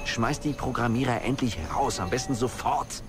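An actor playing a gloomy, demanding boss in a wheelchair, speaking tersely in a German radio play. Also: male voice, medium pitch, normal speed, music in the background, close to an everyday voice. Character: weary, irritable male boss in a wheelchair, stranded in desert. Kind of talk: German radio play monologue, message-like delivery.

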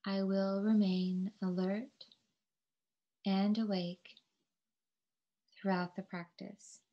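Slow, even-toned woman guiding meditation. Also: medium pitch, lo-fi sound. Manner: calm, soothing, measured instruction with long pauses. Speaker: yoga teacher. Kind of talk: guided relaxation meditation.

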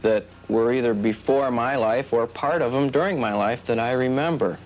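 A man's voice: medium pitch, moderate pace, darkish tone. A man explaining himself reflectively in an interview. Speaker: collector being interviewed. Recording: slightly noisy old videotape.

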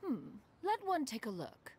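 A woman's voice: sits high, big pitch swings, a little slow, darkish tone, slight background noise. A woman voicing a dignified, imperious female crane immortal in human form in an anime game, with a haughty, archaic manner. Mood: appraising. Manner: haughty, archaic, calls herself "one".

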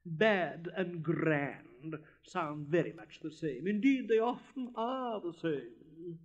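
A puffed-up, conceited rocket, as a man puts him on, who lingers over each word with lofty disdain. The voice is somewhat high with wide, animated swings, and the recording sounds dull and lo-fi. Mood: pompous. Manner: pompous, haughty, slow drawn-out words. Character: pompous vain male firework rocket. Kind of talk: storybook reading.

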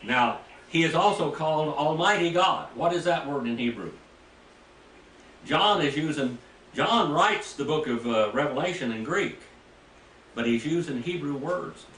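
A man's voice: on the high side, expressive, unhurried, a bit bright, slight background noise. Older male preacher teaching in an echoey room. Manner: folksy, asks the class questions, reads scripture aloud. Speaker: preacher teaching a Bible class. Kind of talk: Bible teaching lecture. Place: small church room, indoors. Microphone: room mic from a distance. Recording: echoey room, heard from a distance.

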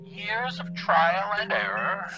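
Slow, gloating murderous father on tape. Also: sits high, sharp tone, muffled recording, full character voice. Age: middle-aged. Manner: theatrical, mock-folksy, sinister chuckles. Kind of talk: horror audio drama monologue. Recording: band-limited tape-voice sound over music.

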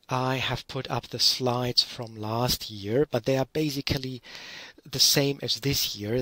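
A middle-aged man from Germany with a German accent gives a relaxed online lecture from home, using lots of fillers. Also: mid-range voice, no echo. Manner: relaxed, explanatory, with frequent fillers. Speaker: university lecturer. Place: small room at home, curtain behind. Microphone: headset.

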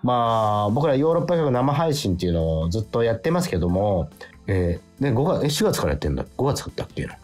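Male radio host with lively, swinging pitch. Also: fast talker. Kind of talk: casual radio chat, reading listener letters aloud. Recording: music playing behind. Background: background music.

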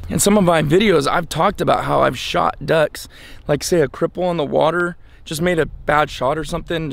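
Gravelly American man talking outdoors over noise. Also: fairly high pitch. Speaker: hunting channel host. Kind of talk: casual product test vlog. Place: outdoors in an open dry yard. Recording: clear outdoor ambient noise.

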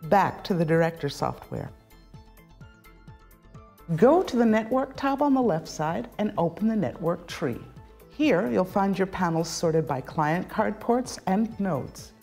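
A middle-aged woman giving scripted instructional narration as a tutorial presenter, enunciating clearly step by step. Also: fairly low pitch, expressive, measured pace, darkish tone. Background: background music.

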